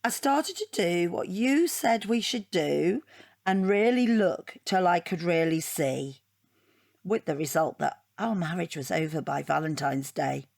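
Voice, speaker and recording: An actress playing an opinionated, defensive art student in a comic audio drama, frank and matter-of-fact. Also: female voice, fairly low pitch, lively intonation, a little slow, creaky, darkish tone, no echo, studio quality, full character voice. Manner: rambling, matter-of-fact, self-justifying.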